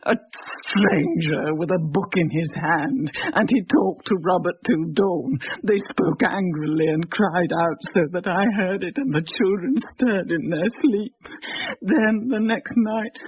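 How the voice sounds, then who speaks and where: A woman playing the grieving ghost of a starving young widow in a radio drama, tearful and slow. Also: medium pitch, slightly rough, no room sound. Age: 20s. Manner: slow, broken confession. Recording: dull lo-fi radio sound.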